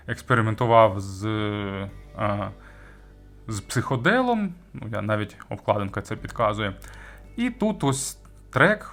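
A man giving a conversational audio gear review, speaking with hesitant pauses, stretched words and emphatic jumps on key phrases. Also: mid-range voice, a bit bright. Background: background music.

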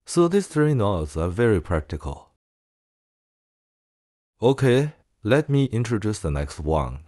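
A man presenting a software tutorial in a casual way. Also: on the low side, big pitch swings, takes it slowly, dry recording, studio quality.

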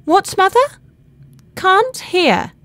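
Woman acting an excitable, high-voiced well-bred young lady. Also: normal speed. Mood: calling, cheerful. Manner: breezy, exclamatory chatter. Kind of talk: storybook character voice in an audiobook.

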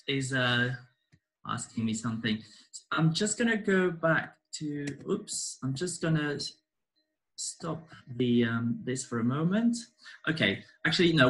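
A man's voice: a little high, pushed and bright. A man teaching a live online dance class from his living room, giving step-by-step instructions in an encouraging way. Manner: encouraging, hesitant between instructions. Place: living room at home, indoors. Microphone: laptop or webcam mic across the room. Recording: roomy, distant microphone.

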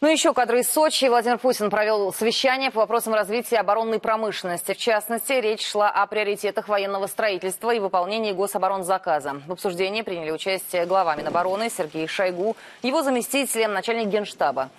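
A middle-aged woman anchoring the news in a television studio, reading a crisp script. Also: medium pitch, quick pace, hardly any pauses.

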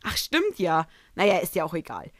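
A woman acts out a mischievous female winged unicorn princess from a cartoon fan story. Her voice sits a little low for a woman and is recorded dry. She talks in a casual, cheeky, playful way. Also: wildly swooping pitch, normal speed, no room sound.

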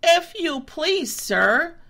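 A woman's voice: sits high, big pitch swings, very slow, bright, forceful tone, pitched above the performer's own voice, heavily acted. A woman playing a bossy billy goat. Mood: meek.

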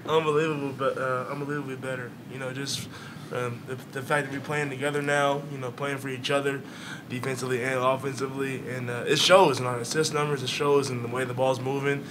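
A man's voice: mid-range voice, little pitch movement, fast talker. A young man, a college athlete, answering at a press conference. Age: young adult. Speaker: college basketball player. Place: press conference room, seated at table. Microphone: table microphone. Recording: clear room ambience noise.

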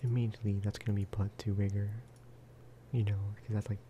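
A soft-spoken man hosts a gaming ASMR video in a low, flat voice. He rambles casually and often drops into a whisper. Clear background noise and clicking sit behind him. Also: a little fast.

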